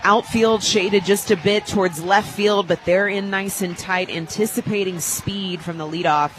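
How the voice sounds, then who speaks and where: American lady doing color commentary on softball radio, medium pitch, chatty analysis from the booth. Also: steady tone, moderate pace, soft background music. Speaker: color commentator, former player. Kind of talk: live softball analysis, conversational.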